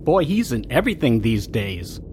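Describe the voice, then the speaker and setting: An actor playing an eager, easygoing tour guest in a comedic audio drama. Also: male voice, mid-range voice, sing-song, moderate pace, darkish tone, a little hiss or hum, lightly acted. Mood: keen, teasing.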